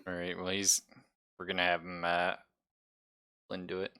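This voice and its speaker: A man's voice: low-pitched, monotone, moderate pace, sharp tone, smooth, no echo, studio quality. A man casually narrating a tabletop roleplaying game as game master, slipping into characters' lines and swearing.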